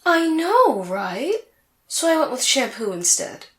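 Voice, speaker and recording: Haughty, high-voiced anime sorceress, echoey. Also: female voice, sing-song, takes it slowly, fairly bright tone, heavily acted. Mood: boastful, haughty. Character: vain, haughty young female sorceress rival from an anime. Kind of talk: comedic anime fan audio drama acting.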